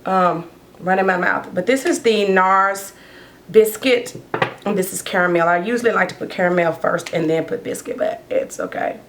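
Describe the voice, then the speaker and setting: Chatty hoarse woman doing her makeup. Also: fairly low pitch, moderate pace, noisy background. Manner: chatty, rambling, talks while doing makeup. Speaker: beauty vlogger. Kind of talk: casual get-ready-with-me chat.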